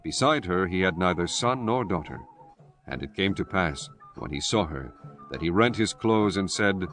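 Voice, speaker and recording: A man narrating a dramatized scripture audiobook in a solemn, measured reading style. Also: sits low, lively intonation, fairly bright tone. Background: music.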